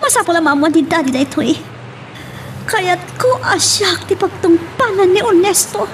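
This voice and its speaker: An actress playing an anxious young office secretary in love trouble in a radio drama. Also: female voice, extremely high pitch, animated, moderate pace, recorded from a distance, a little hiss or hum. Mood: upset, demanding. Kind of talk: Ilocano radio drama acting.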